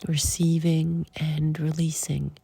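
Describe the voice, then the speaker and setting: A woman leading a guided meditation in soft, breathy, short phrases with long pauses, often trailing toward a whisper. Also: deep voice, level tone, no room sound.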